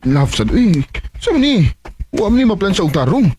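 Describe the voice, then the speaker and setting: A man's voice: high voice, sing-song, measured pace, mellow. A man playing a nagging but fond young husband in a radio drama, hesitant and exasperated, with a Filipino Bisaya accent. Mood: irritated.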